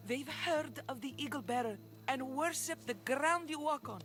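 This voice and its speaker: A woman's voice: high voice, moderate pace, a bit bright, soft background music. An actress playing an effusive, exasperated mother in ancient Greece, in a video game. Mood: persuasive. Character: effusive, exasperated Spartan-era mother seeking help. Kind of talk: scripted video game cutscene acting.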